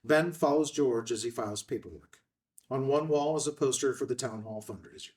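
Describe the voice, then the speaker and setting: A male narrator reads stage directions from a script. His voice is mid-pitched and sounds somewhat away from the microphone. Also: fairly quick, darkish tone. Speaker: narrator reading screen directions. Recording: distant mic.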